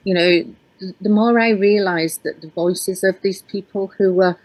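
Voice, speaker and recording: A woman's voice: mid-range voice, normal speed, darkish tone, no echo, poor audio. A woman with a hybrid northern English accent, raised in Yorkshire, speaking as an interview guest and author, hesitant with many ums and restarts. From Yorkshire, England. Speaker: author and researcher. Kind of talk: interview guest answering questions.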